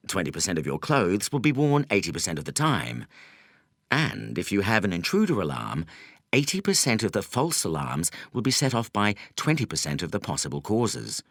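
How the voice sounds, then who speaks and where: A man reading a nonfiction audiobook as a scripted narrator, in measured, deliberate phrases with emphatic stresses. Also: on the low side, fairly bright tone, no room sound, clean studio sound.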